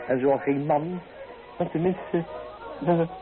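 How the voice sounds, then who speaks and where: A frivolous, theatrical playboy with a high male voice. He talks in a mocking, flamboyant way. Music plays clearly behind him on a thin, tinny old recording. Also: takes it slowly, a crowd in the background. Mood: dismissive, mocking. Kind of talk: Dutch radio play acting.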